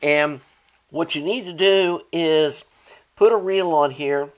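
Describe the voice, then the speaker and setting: A man's voice: fairly high pitch, takes it slowly. A man giving an informal, hands-on repair walkthrough in an unscripted, conversational way, like a hobbyist presenter.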